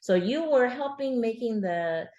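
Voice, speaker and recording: A woman's voice: a little high, expressive, a little slow, mellow, echoey room, lo-fi sound. A woman talking casually with her father, explaining food terms in a chatty way with fillers. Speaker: author interviewing her father. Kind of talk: casual family conversation.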